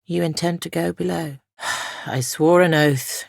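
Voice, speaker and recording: A woman playing a solemn, ancient female spirit guardian in a fantasy game, speaking softly and formally with measured gravity. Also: fairly low pitch, fairly even intonation, dry recording, studio quality. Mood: grave, foreboding. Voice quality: soft, gravelly. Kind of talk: scripted fantasy game dialogue.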